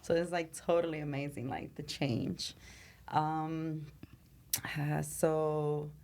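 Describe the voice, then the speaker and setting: Low-voiced candid woman. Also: slow, vocal fry, a little mellow. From Venezuela. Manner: candid, laughs often, many fillers like "you know". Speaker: guest, nightlife promoter. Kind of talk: casual interview chat.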